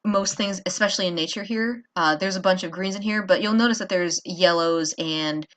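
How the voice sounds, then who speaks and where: Woman, tired, lowish voice, casual art tutorial, rambling with fillers, talking while drawing at home. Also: brisk, fairly bright tone. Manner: rambling, self-deprecating, many fillers.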